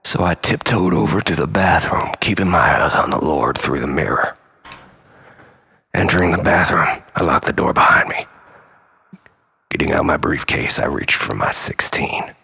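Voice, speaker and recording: Husky, creaky man telling a melodramatic tale. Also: a bass voice. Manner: melodramatic, swinging between loud exclamation and breathy hush. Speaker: storyteller performing a comic monologue. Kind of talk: dramatic first-person storytelling, theatrical monologue.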